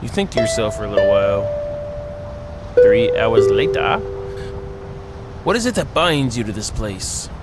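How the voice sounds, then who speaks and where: Male let's-player, playful, swings between narration and commentary, animated pitch, prominent music underneath. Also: unhurried, pauses often. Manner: playful, voices dialogue and reacts aloud. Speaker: video game streamer. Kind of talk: reading a visual novel aloud with playful commentary. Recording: music playing behind the voice. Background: game music.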